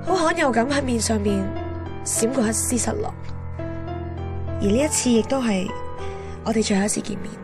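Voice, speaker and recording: A woman's voice: on the high side, expressive, moderate pace, pauses often, music in the background. An actress playing a candid, guarded young woman with a Hong Kong accent, frank and composed in a radio drama. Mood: reflective, resigned. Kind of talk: radio drama narration and dialogue.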